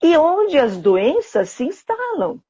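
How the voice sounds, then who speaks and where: A woman's voice: high-pitched, huge pitch swings, slow, mellow, muffled recording. A woman, a psychotherapist guest explaining ideas in a conversational way, often checking in with little tag questions.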